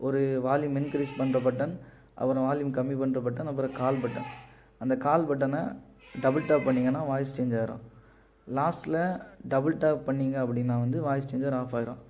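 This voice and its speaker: A man with a mellow, mid-pitched voice explains a product casually. The recording is a little dull. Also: steady tone, brisk. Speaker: product seller. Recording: slightly muffled, dull audio.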